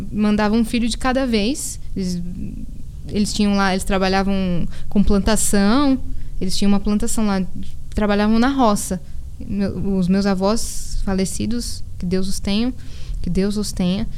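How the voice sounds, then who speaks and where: A woman's voice: mid-range voice, a little mellow, slight background noise. A woman, a podcast guest in casual chat, telling a family story with slangy fillers.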